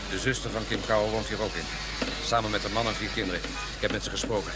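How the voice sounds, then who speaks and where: A man's voice: fairly low pitch, steady tone, fast talker, lightly acted. Casting: male actor as a grave, well-informed guide in radio drama, earnest delivery, heavy music bed. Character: knowledgeable, grave male guide among slum poverty. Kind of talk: Dutch radio drama acting. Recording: music behind, outdoor traffic ambience.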